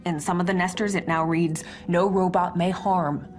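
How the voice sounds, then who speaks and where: A woman playing a brisk, defensive military major under pressure in a radio drama, clipped and impatient. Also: lowish voice, steady tone, soft background music, lightly acted.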